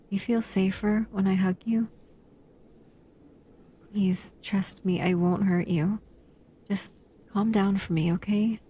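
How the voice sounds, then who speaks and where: Woman playing slow, soft-spoken, possessive monster lady. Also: mid-range voice, slightly rough. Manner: soft, soothing, hesitant, often dropping into whispers. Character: powerful shadow-wielding female monster, possessive yet tenderly protective. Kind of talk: intimate scripted roleplay audio addressed to the listener.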